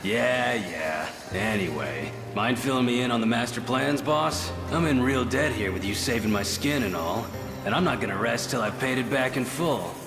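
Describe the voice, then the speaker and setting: An actor playing a proud, gruff but big-hearted bandit boss in a video game, brash, slangy and familiar. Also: male voice, medium pitch, lively intonation, moderate pace, natural voice. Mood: easygoing. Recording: roomy echo, music behind.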